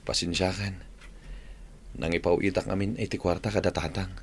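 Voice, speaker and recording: An actor playing a worried, lovesick young man in an old radio drama, talking softly and apologetically. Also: low-pitched, steady tone, normal speed, slightly rough. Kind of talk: 1990s-style AM radio drama acting. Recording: old AM radio recording, faint hiss.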